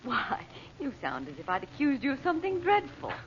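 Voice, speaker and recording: A woman's voice: high voice, wildly swooping pitch, a little fast. An actress playing a composed, gracious, reassuring mother in an old-time radio drama. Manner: gentle, composed, polite. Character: Mrs Regent, calm, gracious, reassuring mother. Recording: dull, slightly noisy old radio recording.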